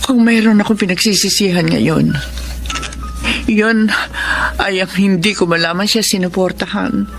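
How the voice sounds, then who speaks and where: Radio drama mother: Filipino, humble, emotional, earnest and pleading, medium pitch over music. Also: a little slow, creaky, long pauses. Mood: regretful, hopeful.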